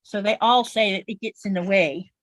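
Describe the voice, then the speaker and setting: A woman's voice: medium pitch, moderate pace, no echo, rough recording. A woman with a Southern US accent, chatting casually on a livestream in an explanatory, folksy way. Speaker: quilter guest on a livestream.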